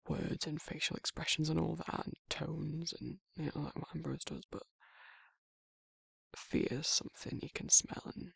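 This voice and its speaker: A man voicing a gentle, awkward werewolf in an intimate ASMR roleplay, with a British accent, a husky voice and a hesitant, rambling way of talking. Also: a little high, fairly even intonation, moderate pace, no echo, exaggerated voice. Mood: bashful, rambling. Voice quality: husky, gravelly, breathy. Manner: hesitant, rambling, bashful, stumbling over words. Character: grumpy but awkward, shy, gentle male werewolf.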